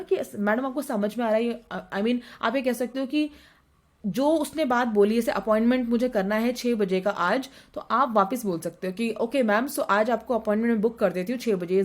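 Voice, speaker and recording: Woman teacher going over exam stuff, fairly high voice, explains and repeats each term. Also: a little mellow. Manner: explains in Hindi mixed with English terms, repeating points. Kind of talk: exam revision lesson.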